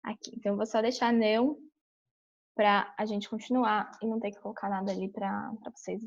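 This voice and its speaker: A woman's voice: mid-range voice, level tone, fairly quick. A young woman from São Paulo with a Brazilian accent, explaining a screen-share tutorial in a chatty way, full of fillers and restarts. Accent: São Paulo Brazilian Portuguese. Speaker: vlogger walking through a visa application. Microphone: webcam on a computer. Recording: dull lo-fi webcam audio.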